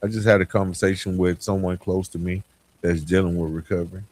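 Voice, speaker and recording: A man with a fairly low voice talks straight to his podcast listeners. He is earnest and motivational, posing rhetorical questions and repeating phrases to drive them home. The delivery is conversational, spoken close to the mic. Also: flat delivery, brisk, darkish tone. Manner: conversational, frequent rhetorical questions and repetition for emphasis. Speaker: podcast host. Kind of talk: reflective solo podcast talk. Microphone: close to the microphone.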